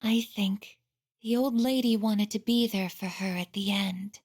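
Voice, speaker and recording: A woman playing a gentle, earnest android girl in a video game, speaking politely and formally with soft introspection. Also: medium pitch, fairly even intonation, measured pace, slightly rough. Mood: reflective, solemn.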